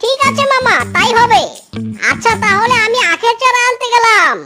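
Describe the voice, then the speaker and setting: Woman doing a squeaky, very high sly cartoon fox. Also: normal speed, loud music behind the voice. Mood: scheming. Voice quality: childlike, squeaky. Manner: wheedling, boastful, exclamatory. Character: sly, gloating trickster fox, a young male swindler. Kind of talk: children's cartoon voice acting.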